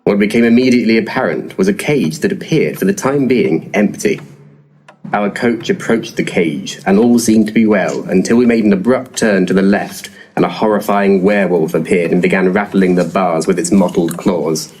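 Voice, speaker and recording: A man's voice: low voice, normal speed, a little mellow, a little hiss or hum, lightly acted. An actor playing a pompous, verbose male paranormal investigator in a comedic audio drama, florid one moment and crude the next. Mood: grandly narrating. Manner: grandiloquent, verbose.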